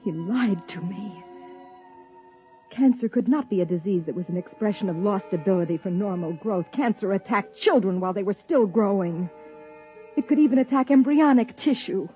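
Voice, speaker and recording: An actress playing a young wife and biologist who uncovers a dark secret, acting in a 1950s radio drama, urgent in argument and numb when narrating. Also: female voice, medium pitch, lightly acted. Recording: dull lo-fi 1950s radio recording.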